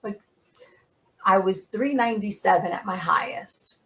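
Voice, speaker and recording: Animated middle-aged woman guest. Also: medium pitch, unhurried. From United States. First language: Italian dialect. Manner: animated, emphatic, laughing. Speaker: podcast guest. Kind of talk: casual conversation.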